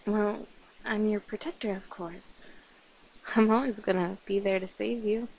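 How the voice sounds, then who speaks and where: A woman playing a shy, playful and protective centuries-old vampire in an intimate ASMR roleplay, speaking softly and hesitantly. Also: medium pitch, steady tone, unhurried, poor audio. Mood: flirty, protective. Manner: hesitant, soft-spoken, breathy whispers, nervous giggles. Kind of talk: ASMR roleplay, intimate one-sided dialogue.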